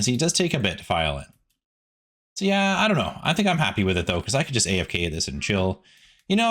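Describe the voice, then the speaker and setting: A man's voice: medium pitch, fairly bright tone. A man giving casual, chatty gameplay commentary, rambling in asides to viewers as a video host.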